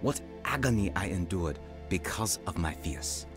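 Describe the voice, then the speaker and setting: A humble African evangelist in a radio drama. He speaks in a lowish, earnest voice, reflective as he recounts his life, with faint music behind him. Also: animated, a little slow. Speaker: actor narrating in radio drama. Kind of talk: dramatised first-person narration and dialogue.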